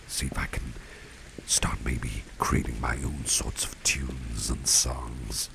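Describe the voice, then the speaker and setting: Guy doing kindly posh-mannered boss for asmr, super low croaky half-whisper, steady ambient noise behind him. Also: heavily acted. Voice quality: very deep, gravelly, breathy half-whisper. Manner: genteel, unhurried, repeats phrases for emphasis. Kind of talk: ASMR roleplay, soft-spoken personal attention.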